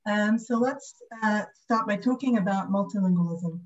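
A woman's voice: medium pitch, moderate pace, a little hiss or hum. A woman with a British accent giving an academic lecture over a video call. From United Kingdom. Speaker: guest lecturer, speech and language pathologist. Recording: slightly dull video call audio.

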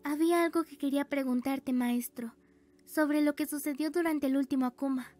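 A woman's voice: high voice, steady tone, normal speed, darkish tone, lightly acted. A woman playing an earnest, anxious teenage heroine in a fan dub, hesitant, with a Latin American accent.

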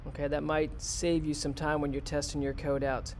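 A man's voice: on the high side, little pitch movement, normal speed, slight background noise. A man, a teacher, walking his class step by step through a robot programming task in a screen-recorded lesson. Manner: plain, step-by-step, talks students through it.

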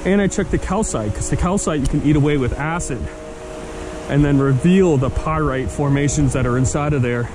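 A man's voice: on the high side, moderate pace. Enthusiastic young man on a riverbank. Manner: enthusiastic, chatty, talks to camera and his dog. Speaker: vlogger, rockhound. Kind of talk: casual outdoor vlog narration. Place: outdoors on a rocky riverbank in a forest. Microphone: action camera held at arm's length. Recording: noisy outdoor camera audio.

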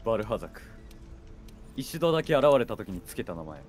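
Man with very lively pitch, gaming. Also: fairly quick. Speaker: game streamer, guest co-op partner. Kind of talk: casual chat during a co-op game stream. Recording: game music behind. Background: video game music.

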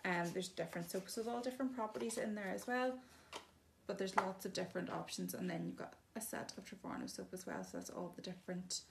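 A woman's voice: mid-range voice, lively intonation, fast, creaky, heavy background noise. A woman giving a chatty, casual demonstration of bathroom products, explaining each one plainly with frequent ums. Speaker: shop presenter showing products.

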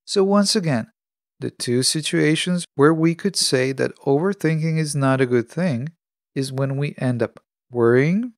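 A man hosting a solo podcast, reading a prepared monologue in measured, deliberate phrases. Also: on the high side, no room sound, studio quality. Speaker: podcast host. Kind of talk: scripted solo podcast monologue on self-help.

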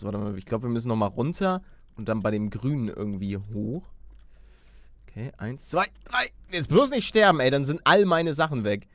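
Man doing casual gaming commentary. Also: medium pitch. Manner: youth slang mixed with English gaming words. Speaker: gaming video host. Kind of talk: casual Let's Play commentary, thinking aloud.

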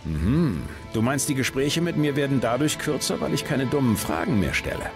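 A man's voice: mid-range voice, big pitch swings, normal speed, gravelly. A man playing a tough action hero in a video game, with a dry, laconic and wry delivery. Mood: sceptical, resolute. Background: music.

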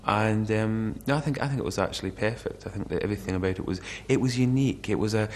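A man in his forties with a low-pitched voice answers questions in a sit-down interview. He talks conversationally, as a commentator giving his views. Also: fast talker.

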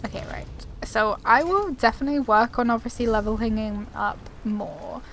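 A woman's voice: on the high side, normal speed, a bit bright, a little hiss or hum. A woman gamer chatting casually as she plays and reads game text aloud. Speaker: gamer playing and commenting.

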